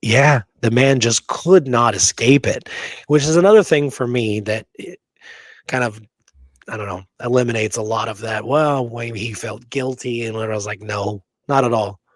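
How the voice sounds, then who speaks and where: A man talks history as a podcast co-host in a casual, conversational way. He makes his points emphatically and often tags them with a quick check for agreement. His pitch is average for a man, and the sound is close and dry. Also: no echo. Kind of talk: casual history discussion with some reading aloud.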